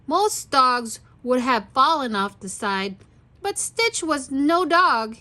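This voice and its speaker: Slow, high-voiced woman storyteller. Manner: expressive, addressing young children. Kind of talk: children's read-aloud narration.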